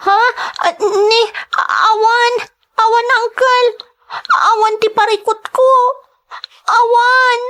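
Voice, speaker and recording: A woman's voice: very high-pitched, level tone, takes it slowly, a bit bright, full character voice. A woman playing a frightened, timid young niece who speaks hesitantly and pleadingly in an audio drama. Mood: tearful, hesitant.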